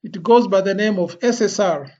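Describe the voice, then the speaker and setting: An instructor giving an explanatory lecture in a deliberate, phrase-by-phrase way with pauses. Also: mid-range voice, dark tone. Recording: dull, lo-fi voice recording.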